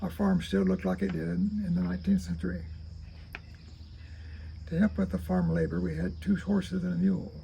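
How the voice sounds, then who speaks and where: Old guy in his 80s reading his memoir, super gravelly, kinda high pitched for a man, halting. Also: lively intonation, mellow, a little hiss or hum, rough recording. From rural Kentucky, United States. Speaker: storyteller reading own memoir. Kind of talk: reading a childhood memoir aloud.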